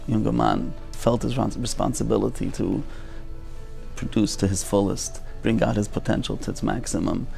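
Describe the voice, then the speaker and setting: A man's voice: fairly low pitch, steady tone, normal speed, vocal fry, a little mellow. A man giving a testimonial for a community video. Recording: music playing behind the voice.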